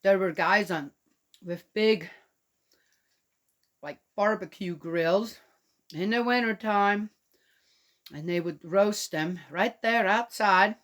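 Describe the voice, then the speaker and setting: A woman from Switzerland chatting gently to young children and reading them a picture book aloud. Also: mid-range voice, slow pace, pauses often. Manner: gentle, chatty, talks directly to young listeners. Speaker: children's storyteller.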